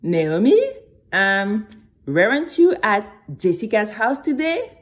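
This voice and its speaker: A courteous, busy mom as a woman storyteller plays her in a children's audio drama. She talks at a slow, measured pace with expressive, moving pitch and polite hesitation. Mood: puzzled. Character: busy, polite mother, a seamstress, mildly questioning.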